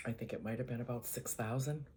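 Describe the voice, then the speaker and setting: An older woman with a Boston accent, chatting casually to her channel in an easygoing, rambling way, often letting her voice trail off quietly. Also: rumbling low, quick pace, a little hiss or hum. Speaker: vlogger. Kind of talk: casual chat to camera.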